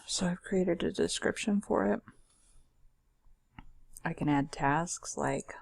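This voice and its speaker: A woman with a scratchy, husky voice giving a casual, chatty walkthrough of a software tool on her screen, with fillers and self-corrections. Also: lowish voice, slow pace, dry recording. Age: adult. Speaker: screen-share software demonstrator.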